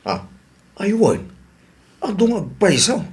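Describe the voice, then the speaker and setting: A man's voice: sits high, wildly swooping pitch, slow, full character voice. A man playing a grumbling, reluctant village husband in a comedy radio drama.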